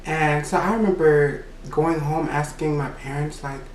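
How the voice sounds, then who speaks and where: High-voiced man, slow and rambling. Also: fairly even intonation, roomy sound, recorded from a distance, a little hiss or hum, poor audio. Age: adult. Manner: rambling, peppered with "you know"; laughs between remarks. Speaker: vlogger. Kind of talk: casual storytime monologue.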